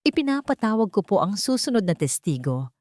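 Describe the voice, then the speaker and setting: A woman voicing a calm, determined male lawyer who questions witnesses in a formal, pointed way, in an audio story. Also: medium pitch, a little fast, no room sound, clean studio sound. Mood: pointed, confident.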